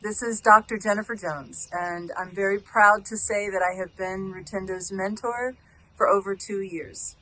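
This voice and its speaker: A woman's voice: mid-range voice, steady tone, moderate pace, poor audio. A woman delivering a formal recorded message of support and praise as a mentor. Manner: formal, warmly proud. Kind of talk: prepared video message.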